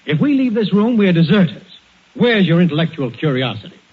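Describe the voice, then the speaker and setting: An actor playing an excitable, bumbling next-door neighbor with an American accent, folksy and blustering, in 1940s radio comedy. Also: male voice, high-pitched, fairly quick, husky, heavily acted. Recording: muffled, hissy 1940s radio recording.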